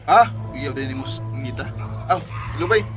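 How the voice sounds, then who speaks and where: An actor playing a polite, low-key young visitor in a Cebuano Bisaya radio drama. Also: male voice, expressive, normal speed, soft background music, lightly acted. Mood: polite, hesitant. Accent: Cebuano Bisaya, Philippines.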